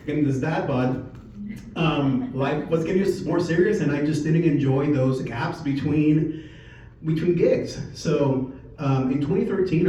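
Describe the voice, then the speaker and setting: A man in his forties from Puerto Rico gives an informal presentation, telling a personal story in a conversational, halting way. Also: a little high, mellow, far from the mic. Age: 40s. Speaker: speaker at a company sales meeting. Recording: echoey room from afar, muffled, faint noise.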